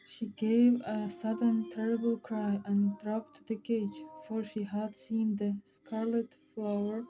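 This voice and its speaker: A woman reading a fairy tale aloud as storyteller, with halting, dramatic phrasing. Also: medium pitch, warm tone, poor audio.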